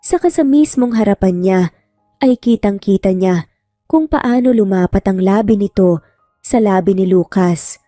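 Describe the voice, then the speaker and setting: A woman's voice: mid-range voice, moderate pace, mellow, no echo, studio quality. A woman reading a scripted romantic drama as a storyteller. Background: music.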